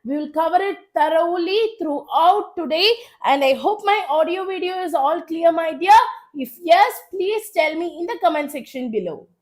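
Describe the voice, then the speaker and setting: A woman teacher with a South Indian accent speaks in a high-pitched voice made hoarse by a cold. She leads a live online revision class, urging her students along. She often repeats short phrases for emphasis. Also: expressive, moderate pace, a little mellow. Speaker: chemistry teacher.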